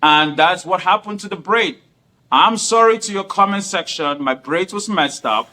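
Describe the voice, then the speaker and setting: Earnest man, bright voice. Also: sits high, moderate pace. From Cameroon. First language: not English. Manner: earnest, formal, repeats himself. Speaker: guest. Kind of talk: explaining himself in an interview.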